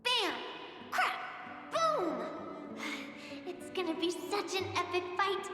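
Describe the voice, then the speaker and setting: A woman's voice: extremely high pitch, very animated, takes it slowly, heavily acted. A sickly, dreamy little girl. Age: child figure. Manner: earnest, wistful, breathy sighs and laughs. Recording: echoey reverb with music behind.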